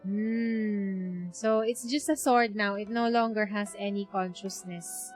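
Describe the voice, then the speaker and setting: A woman's voice: medium pitch, steady tone, slow, faint music behind. A woman with a Filipino accent giving casual live gaming commentary, switching between two languages. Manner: mixes Tagalog into English. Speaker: game streamer.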